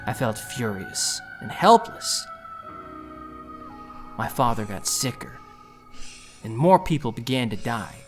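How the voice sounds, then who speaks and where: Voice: medium pitch, sing-song, slow pace, long pauses, faint music behind. An actor playing an anxious, self-doubting, idealistic young thief who narrates their own story earnestly and intensely in an audio drama. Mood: pained, subdued.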